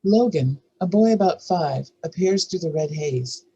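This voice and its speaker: Husky screenplay narrator. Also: mid-range voice, lively intonation, unhurried, mellow, lo-fi sound. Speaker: narrator reading stage directions. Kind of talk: scripted screenplay table-read narration.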